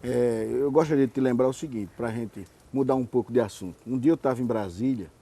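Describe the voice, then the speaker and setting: Male TV interviewer, soft mellow tone, rambling conversational questions with repeats. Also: mid-range voice, brisk, breathy edge. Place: TV studio.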